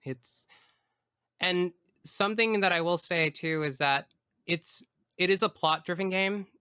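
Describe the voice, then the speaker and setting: A man's voice: sits high, measured pace, pushed and bright, smooth, no room sound, clean studio sound. A man speaking as a podcast guest, chatting casually and effusively about video games with emphatic phrasing.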